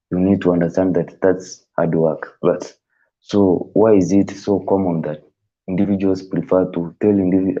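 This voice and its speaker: A man whose first language is not English, hosting a live stream in casual, halting talk that rephrases as he thinks aloud. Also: deep voice, mellow, muffled recording.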